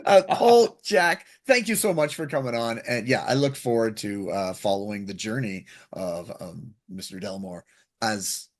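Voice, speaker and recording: Husky, lively male radio host. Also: lowish voice, moderate pace. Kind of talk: casual interview chat.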